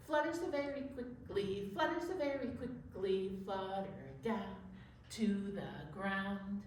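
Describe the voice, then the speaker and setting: A woman's voice: mid-range voice, fairly even intonation, unhurried. A woman hosting an interactive children's storytime, encouraging and full of questions for her young listeners. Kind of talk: interactive storytime talk with slow sung finger play. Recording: very echoey room, distant mic, faint noise.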